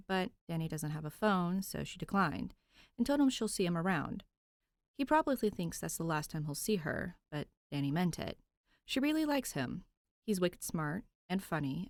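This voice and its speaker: Lower-pitched woman reading fan fiction. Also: no room sound. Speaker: podfic reader and narrator. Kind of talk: expressive fan fiction narration with informal asides.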